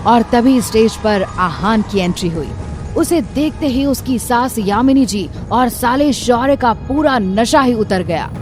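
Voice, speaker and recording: A woman with a somewhat high voice tells a scripted story in dramatic audio-series style. Soft music runs beneath her narration. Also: expressive, measured pace. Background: background music.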